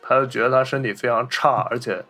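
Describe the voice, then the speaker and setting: Man, medium pitch, podcast host, casual film discussion, music behind. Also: fairly even intonation, quick pace, pushed and bright.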